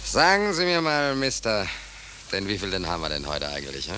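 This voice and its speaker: A laconic, sardonic drifter of a sailor in a vintage radio play. The voice is low and very animated in melody, drawling and colloquial. There is a light layer of noise from the 1940s recording. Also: male voice, fairly quick, full character voice. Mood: casual, wry. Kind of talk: 1940s German radio drama acting.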